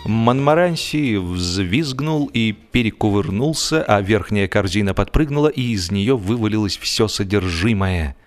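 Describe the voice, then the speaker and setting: A man performing a genial, wry gentleman who tells a humorous story in a measured, theatrical, expressive way. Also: lowish voice. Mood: wry, mock-dignified. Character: genial, wry, musing gentleman narrator of a comic tale. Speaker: audiobook narrator and actor. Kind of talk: dramatized first-person humorous story narration. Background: music.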